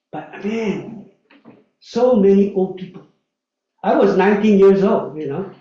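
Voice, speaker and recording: Man in his 60s, lay preacher, high mellow voice, chatty anecdotal sermon, echoey hall off a distant mic. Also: lo-fi sound. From Malaysia. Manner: chatty, anecdotal, frequent "uh" and "right?" asides. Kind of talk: storytelling sermon. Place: church hall.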